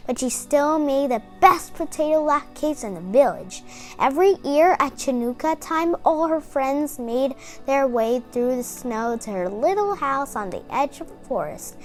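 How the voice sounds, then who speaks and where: Female children's storyteller, high-pitched and unhurried, lively read-aloud delivery.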